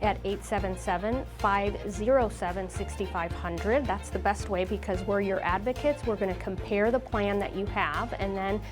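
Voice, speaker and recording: A woman who represents an insurance company, answering interview questions in a steady, informative way. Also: mid-range voice, normal speed, few pauses, over music.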